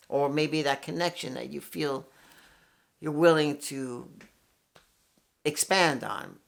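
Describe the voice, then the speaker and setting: A man's voice: medium pitch, creaky, fairly bright tone. A man in his sixties, from New York, speaks in an ordinary mid-range voice. He talks as a guitarist and channel host in a loose, reflective monologue. His delivery rambles, circles back on itself and is peppered with swearing. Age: 60s. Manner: rambling, candid, swears freely, with many fillers and restarts.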